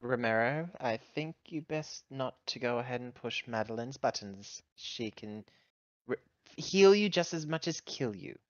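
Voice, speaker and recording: A player voicing a pragmatic, world-weary ship's officer with measured, plain speech in a tabletop sci-fi game. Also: mid-range voice, lively intonation, dry recording, highs cut off, natural voice. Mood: warning.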